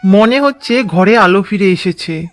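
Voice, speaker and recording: A man voicing a gentle, earnest village husband in a cartoon, with faint music behind. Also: high-pitched, lively intonation, measured pace. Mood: tender. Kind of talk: cartoon dub acting.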